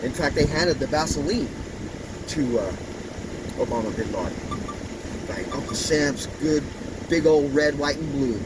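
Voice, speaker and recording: A man's voice: fairly high pitch, a little slow, long pauses. A man of fifty giving an opinionated, meandering monologue, full of "you know" fillers, while sitting in his truck. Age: 50s. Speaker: vlogger. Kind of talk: rambling casual monologue. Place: inside a truck. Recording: distant mic, loud engine and road noise. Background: vehicle engine and air conditioning hum.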